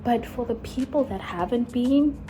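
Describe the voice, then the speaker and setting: A young woman from Africa, musing slowly in a casual travel vlog, full of sighs and likes. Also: on the high side, warm tone. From South Africa. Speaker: vlogger. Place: in Italy while travelling.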